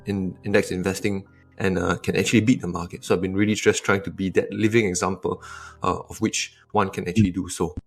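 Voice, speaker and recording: A man from Singapore, answering interview questions thoughtfully, often restarting and adding tag questions. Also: low voice. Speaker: investor guest.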